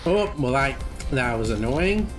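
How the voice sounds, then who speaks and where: Casual male gamer commentary over loud music. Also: medium pitch, sing-song, unhurried. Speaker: gaming streamer, speedrun commentator. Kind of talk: casual live gameplay commentary. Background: game music and sound.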